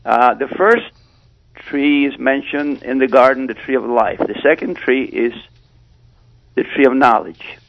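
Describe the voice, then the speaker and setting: A man phoning in to a radio show, giving casual, rambling scripture commentary in a halting, hesitant way. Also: mid-range voice, creaky. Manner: hesitant, halting delivery with repeated pauses. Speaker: phone-in caller. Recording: dull phone line on radio.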